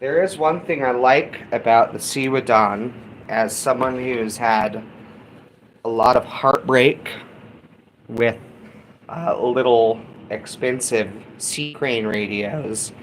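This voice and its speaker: A man's voice: medium pitch, takes it slowly, rough recording. A man casually demonstrating gear as a hobbyist host, talking to viewers like buddies. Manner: informal, buddy-like address to viewers. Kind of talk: casual hands-on gear demo. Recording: clear background noise.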